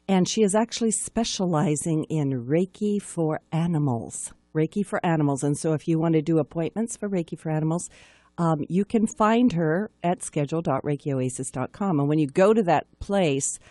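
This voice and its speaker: A woman's voice: deep voice, dark tone, dry recording. A woman hosting a live radio talk show in a chatty, enthusiastic way.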